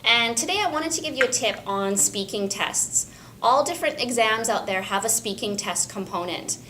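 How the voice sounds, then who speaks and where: A woman English instructor clearly explaining a practical tip on speaking tests. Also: fairly high pitch, animated, normal speed, pushed and bright, a little hiss or hum. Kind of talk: teaching tip for a school video.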